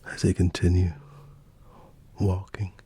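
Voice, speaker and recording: A man narrating an ASMR bedtime story in a soft, soothing voice that often slips toward a whisper. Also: low voice, monotone, slow, breathy edge. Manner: soothing, gentle. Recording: constant birdsong and nature ambience.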